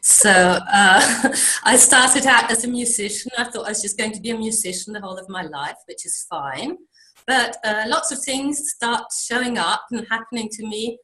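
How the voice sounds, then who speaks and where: A middle-aged woman from Denmark, a guest in a video interview, speaking softly and reflectively and often trailing off quietly. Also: on the high side, moderate pace. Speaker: guest, creative mentor. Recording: echoey room sound.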